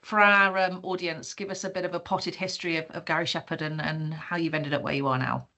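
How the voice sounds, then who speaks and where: A woman's voice: deep voice, steady tone, brisk, sharp tone. A middle-aged English woman hosting an interview podcast, asking friendly, slightly rambling questions. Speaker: podcast host.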